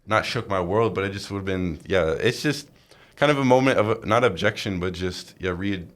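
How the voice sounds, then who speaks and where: A young American man in his twenties chatting casually, in a halting, rambling way that often doubles back to correct itself. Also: low voice, fairly bright tone. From rural Iowa, United States. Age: 20s. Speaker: podcast co-host. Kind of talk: casual chat.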